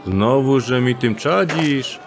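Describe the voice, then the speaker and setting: A cocky thief with an odd old manner of speech. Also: male voice, mid-range voice, takes it slowly, a crowd in the background, background noise. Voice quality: odd old-fashioned phrasing. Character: cocky male thief with an odd archaic way of speaking. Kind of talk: audio drama acting.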